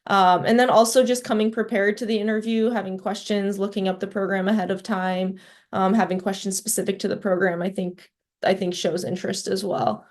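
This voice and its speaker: A woman's voice: medium pitch, level tone, fairly quick. A woman representing a residency program, speaking over a video call with conversational, hedging advice. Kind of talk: webinar panel advice.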